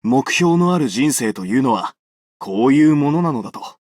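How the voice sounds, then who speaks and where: Guy doing an earnest young hero for a game, talks fast, lots of melody. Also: mid-range voice, animated, a little mellow, no echo, clean studio sound, lightly acted. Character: earnest young male adventurer who found his purpose. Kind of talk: scripted game character voice line.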